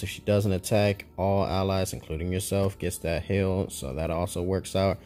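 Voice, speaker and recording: A man's voice: low-pitched, vocal fry, dark tone. A man casually talking through a card game for his channel, chatty and rambling, laughing at his own slips. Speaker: gaming YouTuber. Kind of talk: casual gameplay commentary.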